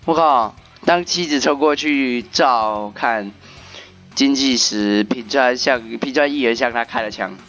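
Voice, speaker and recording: A man with a fairly high voice comments on a game he is playing. He narrates casually and reacts with exclamations, on a slightly muffled lo-fi mic over soft game music. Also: a little slow. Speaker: gaming video commentator. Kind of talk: casual playthrough commentary, translating and narrating aloud.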